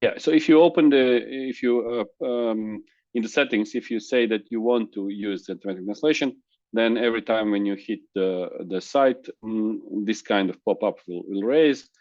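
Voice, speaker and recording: A man whose first language is Croatian, presenting a software demo in a webinar, halting with restarts and fillers over a video call. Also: on the low side, no echo, dull audio. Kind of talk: screen-share software demo.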